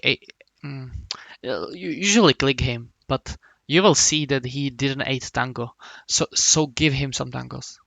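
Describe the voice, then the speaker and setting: Man, from the Republic, gravelly voice, dry close sound, halting coach talk. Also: medium pitch, fairly bright tone, no echo. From Czech Republic. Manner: halting, many restarts and hums while explaining. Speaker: game coach.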